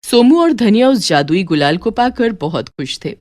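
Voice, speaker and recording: A woman's voice: medium pitch, very animated, moderate pace, a little mellow, no echo, studio quality. A woman narrating a scripted children's moral story. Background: music.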